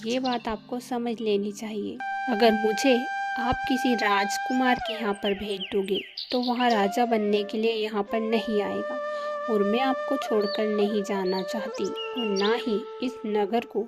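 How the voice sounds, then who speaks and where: A woman's voice: a little high, fairly even intonation, unhurried, natural voice. A woman voicing a clever, principled young princess in a cartoon moral story, formal and firm as she reasons. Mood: earnest insistent. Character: clever, principled young princess advising her royal father. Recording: loud music behind the voice.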